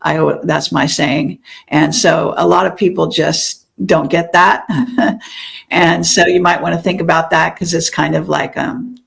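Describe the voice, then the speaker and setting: An older woman talks in a loose, meandering way, full of fillers and restarts. Her voice is heavily gravelly with a lot of fry. She sounds like a guest in a relaxed conversation. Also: sits low. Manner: rambling, meandering, many fillers. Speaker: interview guest, show host. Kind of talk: casual interview chat.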